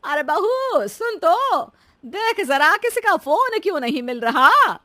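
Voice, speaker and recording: A woman's voice: extremely high pitch, sing-song, takes it slowly, bright, forceful tone, no room sound, clean studio sound, heavily acted. A woman voicing a demanding, sly older mother-in-law in a cartoon story, bossy and wheedling. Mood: demanding, baffled.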